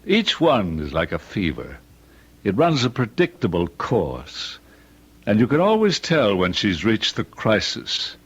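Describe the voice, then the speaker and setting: An actor playing a sly, cynical, needling older uncle in an old-time radio drama, deliberate and insinuating. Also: male voice, lowish voice, huge pitch swings, husky, full character voice. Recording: dull old radio recording.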